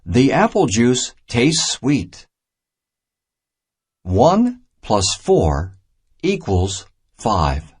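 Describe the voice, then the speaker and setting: A man reading a children's math storybook aloud in a deliberate, simple teaching manner. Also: medium pitch, wildly swooping pitch, a little mellow, husky. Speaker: narrator of a children's math reader.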